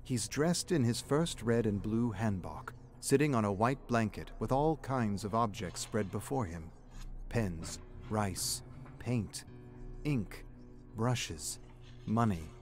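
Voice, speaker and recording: An actor narrating a dramatic story in a solemn, measured way as a storyteller. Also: male voice, on the low side, lively intonation. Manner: solemn, measured storytelling that also voices the characters' dialogue. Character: solemn storyteller narrating a young man's troubled memories. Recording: background music under the narration.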